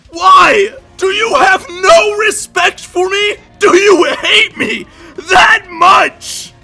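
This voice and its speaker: A man's voice: extremely high pitch, slow, creaky, sharp tone, shouting, soft background music, exaggerated voice. An actor playing a bitter, unhinged widowed middle-aged father, sardonic and menacing, in a dramatic comic dub. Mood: furious, desperate.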